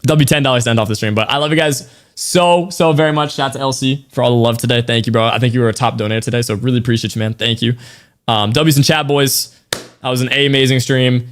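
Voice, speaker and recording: A young male streamer, hoarse and tired after hours of yelling, talks to his chat in a loose, slangy way at a desk mic. His voice is mid-pitched and a little raspy, and he drifts through half-finished thoughts. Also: fairly even intonation, fast. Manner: loose, thanks donors, slang-heavy, trails off. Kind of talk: casual livestream chat to viewers.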